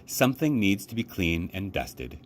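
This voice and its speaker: A man's voice: deep voice, sing-song, normal speed. A man voicing a brusque, eager housemaid in a storybook, with a folksy, no-nonsense manner. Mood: brisk, bossy.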